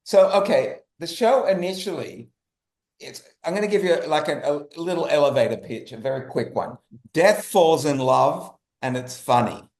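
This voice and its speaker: A man's voice: on the high side, animated, moderate pace, darkish tone. An Australian man answering interview questions as a guest, speaking emphatically and repeating phrases for effect. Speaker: guest, writer and animator. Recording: roomy, echoey sound.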